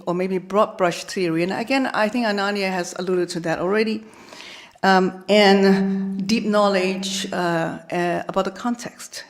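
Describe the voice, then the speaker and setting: Hesitant woman. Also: mid-range voice. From Hong Kong. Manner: hesitant, stammering restarts. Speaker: conference panelist, academic. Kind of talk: conference panel remarks. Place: conference hall.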